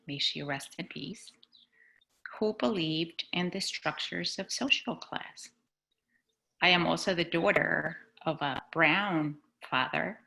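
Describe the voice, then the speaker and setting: A woman from Chile, a school superintendent, giving an earnest, measured personal talk on a video call. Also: low-pitched, vocal fry, pushed and bright, poor audio. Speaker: executive superintendent. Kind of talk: personal speech at a community board meeting.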